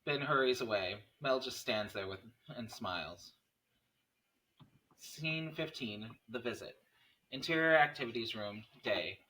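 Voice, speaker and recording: Bright-voiced man reading stage directions. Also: mid-range voice, lively intonation, measured pace, poor audio. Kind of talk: scripted narration at a table read.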